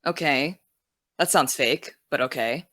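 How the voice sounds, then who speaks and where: A young actress playing an earnest high school girl who wants to be a journalist, defensive and dry. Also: unhurried, fairly bright tone, no echo. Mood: guarded. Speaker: student podcast host. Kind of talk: audio drama.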